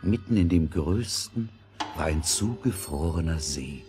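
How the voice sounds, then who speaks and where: Very deep, slow male storyteller. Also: animated, over music. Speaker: narrator. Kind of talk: scripted fairy-tale narration.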